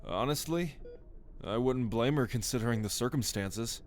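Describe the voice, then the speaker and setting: An actor playing an anxious, caring young brother, flustered and a little cheeky, in an audio roleplay. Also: male voice, mid-range voice, normal speed, lightly acted. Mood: relieved, wry. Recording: clear background noise.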